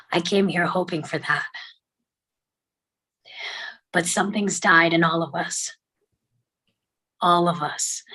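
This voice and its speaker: Raspy-edged, bright-voiced grieving mother, acted by a woman. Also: on the low side, poor audio. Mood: anguished. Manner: halting, emotional, drops into whispers. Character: grieving mother recalling her young son, anguished but tender. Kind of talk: dramatic table read of a screenplay.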